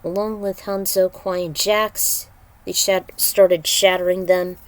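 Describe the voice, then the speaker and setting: A woman's voice: fairly low pitch, flat delivery, slow. A woman narrating a fan fiction story informally, with side remarks.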